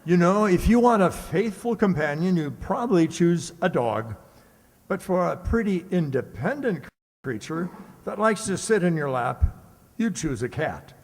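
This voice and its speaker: A genial storytelling uncle, played by a man for a children's radio play. His pitch is fairly high and moves widely, and the hall adds long reverb. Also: very animated, measured pace, exaggerated voice. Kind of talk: old-time radio storytelling.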